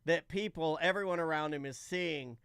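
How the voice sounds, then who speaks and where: A man's voice: a little high, normal speed, a bit bright, clear, resonant tone, no room sound, studio quality. A man hosting a casual comedic talk show, bantering emphatically and repeating his phrases.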